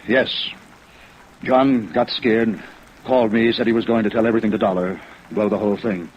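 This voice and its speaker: A dull, slightly noisy vintage radio recording carries an actor playing a guilty, lovesick man. His low voice confesses in a pleading, bitter way, in the manner of 1950s radio drama. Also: steady tone, moderate pace, natural voice. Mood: desperate.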